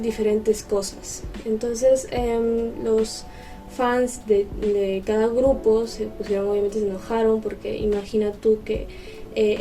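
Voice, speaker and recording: Mellow-voiced woman vlogging, recorded at a distance. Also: mid-range voice. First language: Spanish. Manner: conversational, explanatory. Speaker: vlogger, host. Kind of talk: casual chat to camera. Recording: distant mic with music behind.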